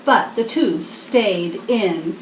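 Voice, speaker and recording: An older woman reading a picture book aloud to small children, expressive and deliberate. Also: medium pitch. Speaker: storybook reader, host. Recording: echoey room, distant mic, faint noise.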